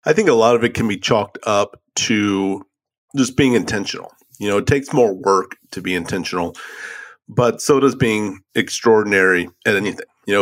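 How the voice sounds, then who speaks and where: A man co-hosting a podcast, with a deep baritone voice and a dry, joking way of talking. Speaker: podcast co-host, coach. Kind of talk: casual podcast chat.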